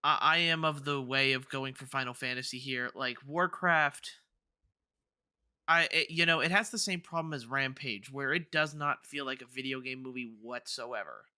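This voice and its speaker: Male co-host, strikingly bright voice, dry close sound, casual stop-and-start podcast chat. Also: medium pitch, normal speed, clear, resonant tone, dry recording.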